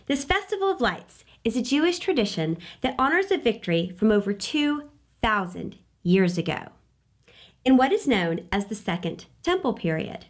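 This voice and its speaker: A middle-aged woman hosting a children's read-aloud, speaking expressively and emphatically with dramatic pauses. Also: on the high side, big pitch swings. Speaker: children's storytime host.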